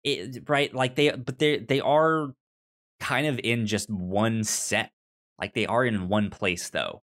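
A man co-hosting a casual film discussion podcast, talking in a halting, stammering way with repeated words and trailing phrases. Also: on the low side, a bit bright. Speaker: podcast co-host.

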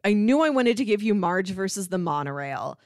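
A woman's voice: mid-range voice, sing-song, normal speed, no echo, studio quality. A woman chatting casually as a podcast co-host, explaining things in an articulate, enthusiastic way. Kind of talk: casual chat about sitcoms.

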